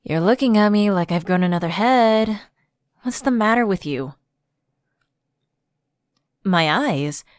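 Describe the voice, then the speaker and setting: A woman's voice: mid-range voice, lively intonation, slow, long pauses, dry recording, clean studio sound. A woman playing a personal assistant who is secretly a fallen angel, evasive and coaxing, in a conversational ASMR roleplay. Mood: nervously breezy.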